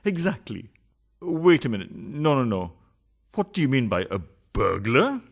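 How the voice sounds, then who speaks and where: A flustered philandering husband in a radio farce. His voice swings widely in pitch as he stammers, pleads and protests with theatrical indignation. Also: big pitch swings, a little slow, vocal fry, heavily acted. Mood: nervous. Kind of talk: farcical radio play acting.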